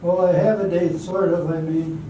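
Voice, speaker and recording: A man's voice: high voice, little pitch movement, moderate pace, mellow, a little hiss or hum, lightly acted. A man acting as an eager, nervous suitor in an amateur radio drama, earnest and hesitant. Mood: awkward. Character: eager, nervous young suitor courting a woman. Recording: very echoey hall from far off, music.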